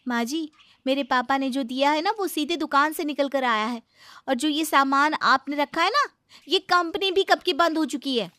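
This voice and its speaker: Young daughter-in-law, played by a woman, high-pitched, courteous yet exasperated, pleading; comic domestic story, dry recording. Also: normal speed, bright, forceful tone, no room sound. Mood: firm, complaining. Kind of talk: dramatized comic family story.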